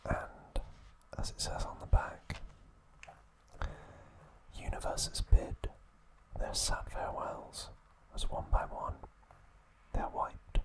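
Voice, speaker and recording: A man whispering an ASMR review at home, gentle and relaxed, with a soft unvoiced whisper. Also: takes it slowly, pauses often, poor audio. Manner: gentle, relaxed, with occasional fillers. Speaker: ASMR channel host. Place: indoors at home.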